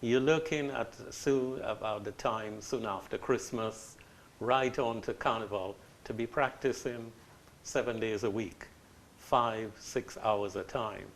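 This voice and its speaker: An older man, answering as a guest in an interview and telling his story in a measured, reflective way. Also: fairly low pitch, a little hiss or hum. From Trinidad.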